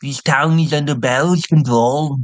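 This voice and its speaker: A man's voice: medium pitch, fairly even intonation, moderate pace, no echo, natural voice. A man voicing a crude, swaggering henchman in a parody anime dub. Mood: leering, bossy.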